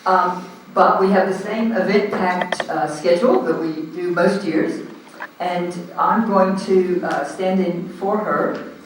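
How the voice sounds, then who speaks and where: A man with an Australian accent chairing a community group's meeting, speaking loudly and casually with many ums into a handheld microphone. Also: high voice, fairly even intonation, slow, a little hiss or hum. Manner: loud, informal, hesitant with frequent ums. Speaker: meeting chair standing in for the president. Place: large echoey hall. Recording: echoey hall, recorded from a distance.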